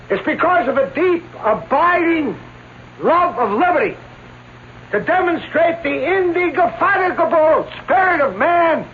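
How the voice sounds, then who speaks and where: A thin old radio recording with faint noise carries a showy escape artist. He speaks in a very high, declamatory voice, florid and proud, playing to his audience. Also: phone-quality sound, heavily acted. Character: theatrical male escape artist, proud, florid stage showman. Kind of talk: radio drama acting.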